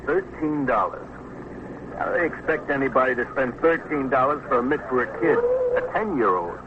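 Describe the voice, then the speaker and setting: A man's voice: mid-range voice, lively intonation, normal speed, close to an everyday voice. A man playing a plain-spoken, grousing policeman who defers to his boss, in 1950s radio drama style. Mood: aggrieved. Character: grumbling working-class policeman, father of young son. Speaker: actor. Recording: muffled vintage radio recording, faint hiss.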